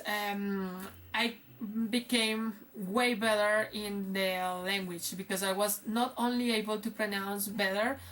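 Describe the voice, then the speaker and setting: A woman's voice: medium pitch, a bit bright, far from the mic, a little hiss or hum. A young woman with a Spanish accent, an English teacher chatting casually in a vlog with many restarts. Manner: conversational, many fillers and restarts.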